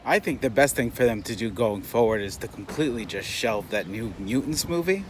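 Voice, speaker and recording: A man's voice: medium pitch, expressive, a little fast. A man giving a casual, rambling, bluntly opinionated spoken movie review, as a vlogger talking while out and about. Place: outdoors on city streets, walking. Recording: clear outdoor street and traffic noise.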